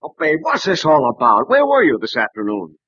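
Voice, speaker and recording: An actor playing a loyal, anxious American sportswriter, earnestly narrating and acting in an old-time radio drama. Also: male voice, a little high, wildly swooping pitch, fairly quick, fairly bright tone, husky, no room sound, full character voice. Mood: alarmed. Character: loyal, worried male sportswriter friend, narrating. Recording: dull, dry old radio recording.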